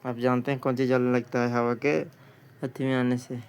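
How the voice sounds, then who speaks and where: A man's voice: mid-range voice, level tone, a little fast, a little mellow, smooth. A man teaching an exam practice lesson, reading out questions and answers and often repeating a question before answering.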